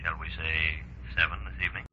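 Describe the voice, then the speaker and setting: An actor playing a courteous, authoritative bank president who secretly runs a racket, formal and businesslike in a 1930s radio drama. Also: male voice, rumbling low, little pitch movement, a little fast. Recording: muffled, hissy 1930s radio recording.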